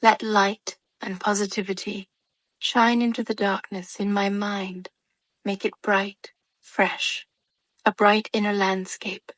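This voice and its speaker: A woman leading a guided meditation with soothing, calm narration in short, measured phrases and gentle pauses. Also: mid-range voice, no echo.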